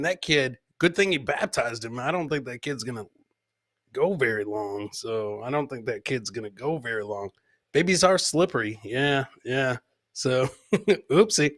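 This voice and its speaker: A man's voice: mid-range voice, bright, forceful tone. A man hosting a casual live comedy podcast, rambling and jokey, often repeating his own phrases. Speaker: podcast host.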